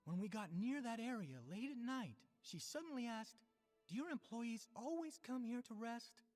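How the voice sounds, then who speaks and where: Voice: mid-range voice, animated, moderate pace, darkish tone, big-room sound, faint music behind, natural voice. Actor as earnest young game worker giving a report. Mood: earnest, concerned. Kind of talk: game voice acting.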